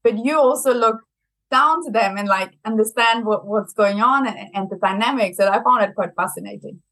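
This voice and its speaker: A woman's voice: medium pitch, moderate pace, rough recording. German-accented woman, chatty interview guest. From Brazil. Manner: laughs often, chatty and lively. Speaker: marketing executive guest. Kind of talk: conversational interview.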